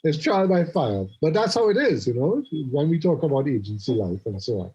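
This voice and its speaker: A man's voice: on the high side, sing-song, brisk, warm tone, breathy edge. A man with a Trinidadian accent, co-hosting a podcast in rambling casual chat. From Trinidad and Tobago. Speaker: podcast co-host. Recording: muffled lo-fi podcast audio.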